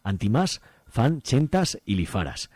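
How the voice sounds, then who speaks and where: A man presenting a radio magazine, reading out listings in a halting way with drawn-out phrase endings. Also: medium pitch, sing-song, darkish tone, slightly rough, no room sound.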